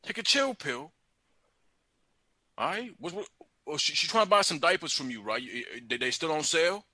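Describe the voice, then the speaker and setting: A man's voice: on the high side, lively intonation, moderate pace, sharp tone, no echo, studio quality. A man on a phone call speaking in slang, teasing the other caller and stammering often.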